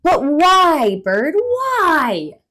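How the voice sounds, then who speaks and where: A woman voicing an excitable, curious little male squirrel in a picture-book reading, exclamatory and childlike. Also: extremely slow, heavily acted. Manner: exclamatory, high and loud, childlike questions. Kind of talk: storybook read-aloud voice.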